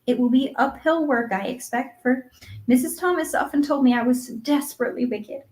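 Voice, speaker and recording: A woman voicing an excitable, dreamy young orphan girl in a storybook, earnest, breathless and gushing. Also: sits high, normal speed, pitched above the performer's own voice, natural voice, poor audio. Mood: earnest, overjoyed. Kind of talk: acted audiobook dialogue.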